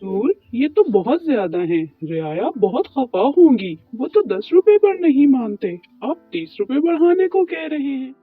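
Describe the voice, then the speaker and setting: A man's voice: very high-pitched, very animated, moderate pace, hardly any pauses, husky, dry recording, heavily acted. A man playing a poor, worried, humble bread baker in an Urdu moral cartoon story, pleading and deferential. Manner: pleading, deferential, talks to himself. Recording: muffled lo-fi track with music behind.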